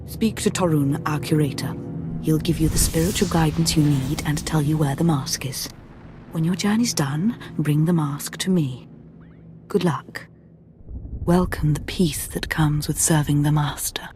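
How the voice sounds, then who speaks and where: An actress playing a zealous, obsessive Sith cultist in a video game, speaking with reverent, hushed intensity. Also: female voice, sits low, measured pace, soft background music. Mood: reverent, solemn. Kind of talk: video game cutscene acting.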